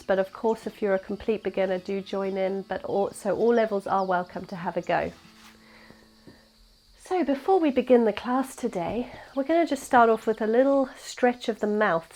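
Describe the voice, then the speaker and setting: A young woman teaching a gentle yoga class, her voice congested and nasal from a cold, guiding slowly and calmly. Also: medium pitch. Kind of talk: guided yoga class instruction.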